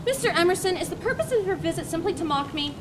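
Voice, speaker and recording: Brief: woman reading a solemn hermit writer, high voice, noisy recording. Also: lively intonation, fast talker. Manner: earnest, solemn and a little defensive. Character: earnest solitary man, philosophical writer living in woods. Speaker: reader in a dramatic reading.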